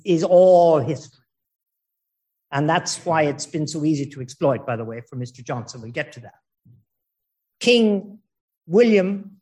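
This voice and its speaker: Digressive, joking law lecturer. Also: male voice, fairly high pitch, a little mellow. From Ireland. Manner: digressive, joking asides, slow emphatic phrasing.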